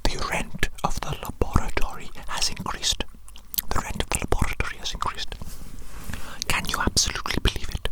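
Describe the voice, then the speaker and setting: A woman's voice: a little fast, poor audio. A woman performing an ASMR roleplay as a grandiose, scheming evil scientist, whispering theatrically and repeating her phrases. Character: grandiose evil mad scientist doctor, scheming and self-important.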